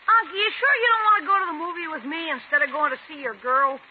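A man's voice: extremely high pitch, quick pace. A man playing a cheeky, teasing young nephew in a 1950s radio sitcom, talking in slangy wisecracks. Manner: wisecracking, slangy kid talk. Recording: tinny, noisy 1950s radio recording.

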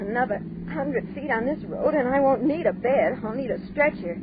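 Actress doing a grumbly wisecracking american girl, kinda high voice, old 40s radio drama, crackly tinny sound. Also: moderate pace, close to an everyday voice. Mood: exasperated. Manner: complaining, sarcastic. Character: exasperated, weary, wisecracking young woman traveler. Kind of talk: 1940s radio acting. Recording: tinny, noisy 1940s radio recording.

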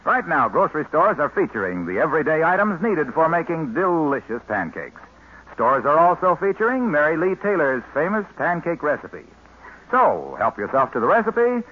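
A man's voice: fairly high pitch, big pitch swings, normal speed, breathy edge, narrow, tinny audio. An American man announcing an old-time radio show, with a polished salesman's delivery in vintage broadcast style. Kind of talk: 1940s-50s radio announcing and sponsor pitch.

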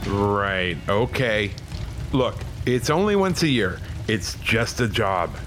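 A man's voice: lowish voice, lively intonation, takes it slowly, fairly bright tone. A man playing a tense police officer in an audio drama, coaxing and urgent as he negotiates. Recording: music and rain under the voice.

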